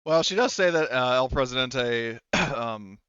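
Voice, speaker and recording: A man running a tabletop roleplaying game casually as game master, talking in a halting, rambling way. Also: mid-range voice, lively intonation, sharp tone, clean tone, no room sound, muffled recording.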